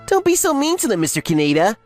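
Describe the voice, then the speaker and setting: A woman's voice: a little high, sing-song, quick pace, faint music behind. A woman playing a smug, scheming office villainess in an English manga dub, with a taunting manner. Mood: smug, mocking. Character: scheming, gloating female office villain, mockingly sweet.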